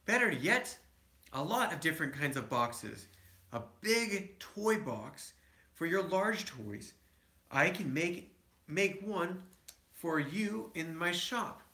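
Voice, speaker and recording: A reasonable, emphatic papa bear from a storybook, as a man reads him aloud. He explains slowly in a bright voice that rings in an echoey room. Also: fairly high pitch, expressive, full character voice. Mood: inspired, encouraging. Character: stern but reasonable father bear, handy problem solver.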